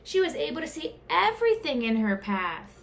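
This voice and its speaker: A woman reading a children's picture book aloud as an expressive storyteller. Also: high voice, moderate pace, vocal fry, a little hiss or hum. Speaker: storyteller, teacher.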